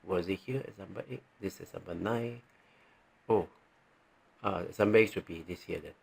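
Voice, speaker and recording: Low-pitched, creaky Malaysian man teaching. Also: a little mellow. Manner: halting, thinking aloud, frequently checking with "right". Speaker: tax training presenter. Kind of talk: informal tutorial walking through on-screen examples.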